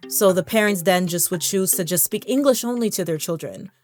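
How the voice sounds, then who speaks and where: A woman's voice: lowish voice, brisk, darkish tone, faint music behind. A young American woman vlogger talking to camera in a casual, encouraging way, often repeating words as she restarts. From United States. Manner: conversational, stumbles and repeats words, laughs now and then. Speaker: language vlogger.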